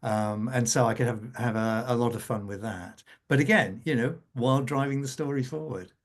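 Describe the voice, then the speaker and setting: A man speaking as a scriptwriter in an unscripted panel conversation, halting and often repeating words as he goes. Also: mid-range voice.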